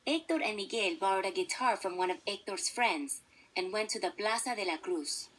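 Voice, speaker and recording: A woman's voice: mid-range voice, animated, moderate pace, fairly bright tone, slight background noise, rough recording. A woman narrating a children's story from a script, as a read-along storybook narrator. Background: instrumental music.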